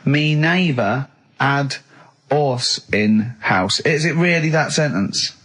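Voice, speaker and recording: A man co-hosting unscripted radio banter, blunt, mocking and quick to interrupt, with a husky voice. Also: mid-range voice, expressive, a bit bright. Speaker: radio co-host. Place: radio studio.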